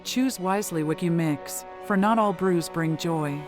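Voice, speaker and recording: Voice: medium pitch, measured pace, over music, close to an everyday voice. A performer playing an ominous, watchful seer in a fantasy audio drama, grave and foreboding. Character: ominous, watchful fortune-teller giving cryptic warnings.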